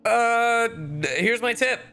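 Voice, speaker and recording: A man's voice: very high-pitched, completely flat delivery, slow pace, creaky, pushed and bright. A young man doing casual livestream commentary as a game streamer, sarcastic, joking and sweary.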